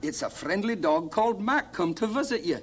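A woman's voice: medium pitch, lively intonation, fairly quick, slight background noise, natural voice. A woman playing a friendly Scottish traveller in children's television make-believe, with a Scottish accent. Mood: cheerful, friendly.

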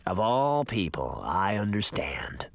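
An ancient villain, performed by a male audiobook narrator, speaking low with a dry crackle. He is coldly calm and menacing, his creaky voice sounding parched with extreme age. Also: slow pace, dry recording, heavily acted.

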